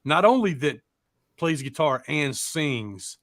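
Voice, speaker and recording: A man's voice: fairly high pitch, sing-song, takes it slowly, fairly bright tone, no echo. Rambling man, close dry sound. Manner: rambling, folksy, informal with swearing. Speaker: show co-host. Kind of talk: casual chat.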